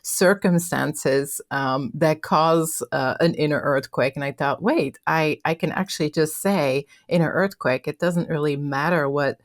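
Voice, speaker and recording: Woman, Belgian accent, middle-aged, low voice, dry close sound, podcast guest, thoughtful with pauses. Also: no echo. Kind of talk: interview answers.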